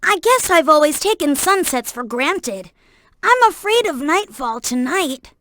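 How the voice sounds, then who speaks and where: A woman voicing a young boy fox sidekick in a video game, earnest, helpful and excitable, with clear childlike delivery. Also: high-pitched, a little slow, exaggerated voice. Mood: earnest, instructive. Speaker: video game character giving hints. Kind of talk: game voice acting, tutorial hints and alerts.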